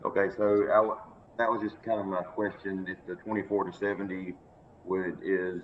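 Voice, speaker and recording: A man's voice: sits low, flat delivery, normal speed. A man casually asking a question as an attendee, over a tinny remote connection. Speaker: audience member asking a question. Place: remote call. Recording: tinny, phone-like call with noise.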